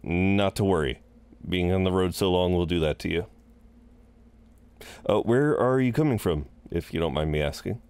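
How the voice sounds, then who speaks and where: A man's voice: sits low, expressive, moderate pace, long pauses, darkish tone, clear, resonant tone, dry recording. A man playing a flirtatious, chatty incubus innkeeper in a roleplay audio drama, welcoming and rambling with hesitant asides. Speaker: innkeeper at a front desk.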